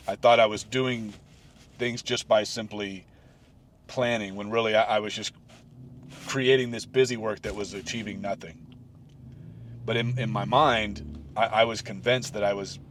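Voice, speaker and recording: A man's voice: lowish voice, slight background noise. A man in his late forties talking alone on his own podcast, rambling with many restarts and fillers. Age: 40s. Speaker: podcast host. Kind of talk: solo reflective podcast talk.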